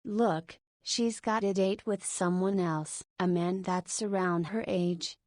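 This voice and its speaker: A woman voicing a 40-year-old single mother in a visual novel, gentle and a bit wistful. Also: lowish voice, fairly even intonation, takes it slowly, no room sound, studio quality. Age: 40s. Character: 40-year-old single mother, warm but self-conscious.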